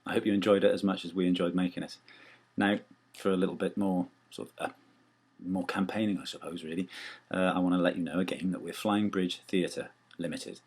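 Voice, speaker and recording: A man's voice: deep voice, steady tone, fairly quick, slightly rough. A man giving a chatty, self-deprecating appeal on behalf of a small theatre company. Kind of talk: informal spoken appeal.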